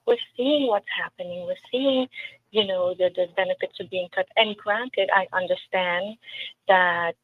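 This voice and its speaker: A woman's voice: mid-range voice, a little slow, dry recording. Woman with a Trinidadian accent over a tinny line. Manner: earnest, emphatic, with storytelling asides. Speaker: political candidate. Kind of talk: interview answers. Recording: tinny phone-like line with faint noise.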